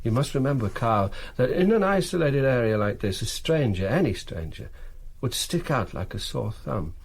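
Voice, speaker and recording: An actor playing a shrewd, eager German intelligence colonel in a wartime radio drama, with a measured, authoritative delivery. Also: male voice, medium pitch, big pitch swings, gravelly, husky, full character voice. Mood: confident, explaining.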